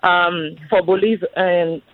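A woman's voice: fairly low pitch, fairly even intonation, takes it slowly, no room sound. A woman from Haiti calling in to a radio show, speaking earnestly with some hesitant fillers, heard over a phone line. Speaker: caller. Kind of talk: call-in radio comment.

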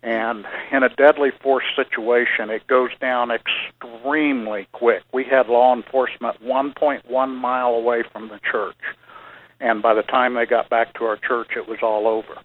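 A man joins a radio talk show by phone, speaking in a measured way with many fillers. His voice sounds thin and phone-filtered. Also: mid-range voice, little pitch movement. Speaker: church security guest. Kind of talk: radio interview. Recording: phone line.

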